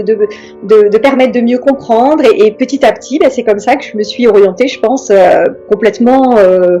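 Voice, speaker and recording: A middle-aged woman from France, speaking as an interview guest in a reflective, hesitant way with many pauses and restarts. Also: on the high side, darkish tone.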